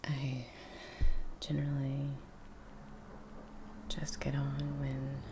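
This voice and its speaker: A woman softly whispering in a breathy voice, chatting in an unhurried, murmuring ASMR style as she works. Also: rumbling low, completely flat delivery, background noise. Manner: unhurried, trailing off, murmured musing. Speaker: artist, ASMR video creator. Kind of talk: soft-spoken ASMR painting chat. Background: soft brush and painting sounds.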